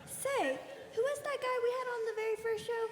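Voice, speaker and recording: In an echoey, slightly noisy hall, a woman plays a blunt radio station veteran. Her voice is very high, her delivery dry and wry, in a stage comedy. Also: fairly even intonation, normal speed, a crowd in the background. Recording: echoey hall, some noise, clicks.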